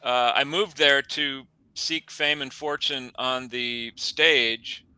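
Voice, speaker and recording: Bright, crisp man in his sixties, rambling. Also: medium pitch, fairly even intonation, takes it slowly, no echo, low-quality recording. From American Midwest. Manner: rambling, self-correcting. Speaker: co-host. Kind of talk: casual chat. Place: home office.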